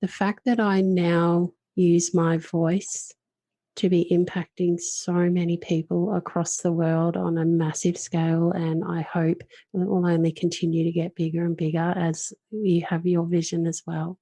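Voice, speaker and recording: A woman's voice: lowish voice, level tone, a little slow, warm tone, dry recording. A woman hosting a podcast, talking earnestly and reflectively about her own experiences. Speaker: podcast host.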